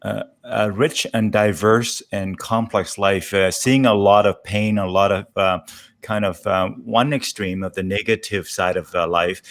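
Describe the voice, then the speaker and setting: A man's voice: fairly low pitch, creaky. A man hosting a casual, reflective interview, speaking in a hesitant, rambling way. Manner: hesitant, rambling, reflective, with frequent murmured acknowledgments. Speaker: interview host.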